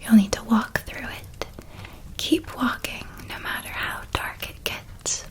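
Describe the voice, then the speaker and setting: Woman as a softly whispering, gentle witch guide. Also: natural voice. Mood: soothing, reassuring. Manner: hushed, soothing, unhurried storytelling. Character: gentle, reassuring witch guide helping a young academy student. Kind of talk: whispered ASMR roleplay.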